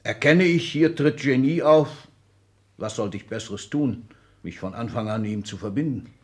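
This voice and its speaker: A man's voice: medium pitch, animated, a little slow, natural voice. A man acting an imperious older aristocrat in a 1960s German radio play, commanding and condescending in abrupt sentences. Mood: fiery, commanding. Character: imperious older aristocratic Excellency, restless military-minded patriarch.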